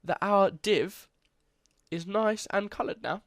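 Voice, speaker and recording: Congested young guy doing a coding tutorial, chatty, keeps correcting himself, bit high voice. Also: slow pace, dry recording, studio quality. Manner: casual, chatty, self-correcting. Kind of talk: screen-recorded coding tutorial.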